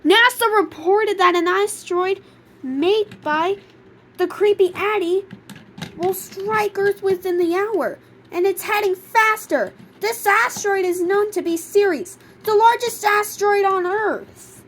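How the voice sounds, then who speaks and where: A woman's voice: very high-pitched, takes it slowly. A woman reading a scripted emergency broadcast as a formal announcer.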